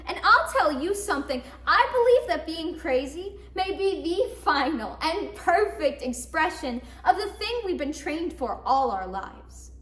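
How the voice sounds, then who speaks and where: In an echoey room, a young woman performs a bitter woman confined in a mental hospital. Her voice is high and slow, delivered as a stage monologue. She is sardonic and theatrical, moving between hushed confiding and loud emphasis. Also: big pitch swings, exaggerated voice. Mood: wry, confiding. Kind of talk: dramatic monologue, competition interpretation. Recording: roomy echo, faint noise, distant mic.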